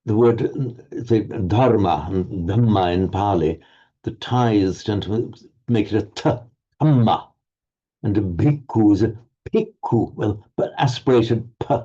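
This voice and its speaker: A man teaching Sanskrit pronunciation in an online group lesson, halting and restarting words, encouraging his students. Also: lowish voice, warm tone, breathy edge, highs cut off.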